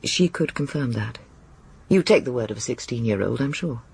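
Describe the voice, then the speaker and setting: A woman's voice: a bass voice, expressive, normal speed, creaky, a little mellow, slightly rough, exaggerated voice. An actress playing a poised, tart middle-aged female academic in a radio drama, cool and direct. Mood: composed, guarded.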